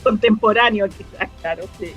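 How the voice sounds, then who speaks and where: A woman's voice: medium pitch, normal speed, a bit bright, faint music behind. A woman with a Chilean accent chatting casually as an interview guest, searching for her words as she goes. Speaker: interview guest, radio drama writer and director. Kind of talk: casual reminiscing conversation.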